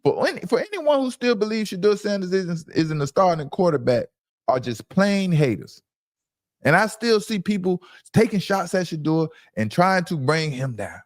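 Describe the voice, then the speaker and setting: Animated, insistent man talking sports. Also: on the high side, dry recording, clean studio sound. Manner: emphatic, insistent, repeats phrases for stress. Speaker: sports commentator and show host. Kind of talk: opinionated sports reaction talk.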